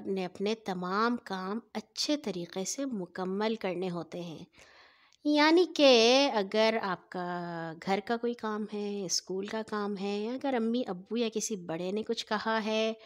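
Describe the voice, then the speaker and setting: A woman storyteller narrating scripted stories for children in a deliberate, phrase-by-phrase way. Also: medium pitch.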